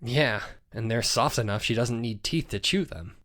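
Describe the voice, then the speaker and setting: A woman voicing a dry, sarcastic young male lawyer with deadpan asides in a video game. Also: very deep voice, moderate pace. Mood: wry, exasperated. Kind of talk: scripted game dubbing. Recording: background music throughout.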